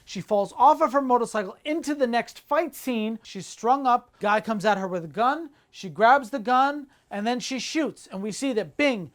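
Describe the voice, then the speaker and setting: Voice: medium pitch, expressive, moderate pace, few pauses. A podcast co-host casually commenting on movie trailers, with a playful, teasing way of bantering.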